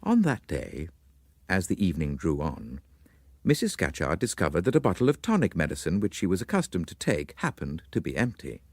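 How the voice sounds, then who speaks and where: A man narrating a literary story in a dramatic, measured and formal manner. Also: deep voice, huge pitch swings, no room sound, studio quality.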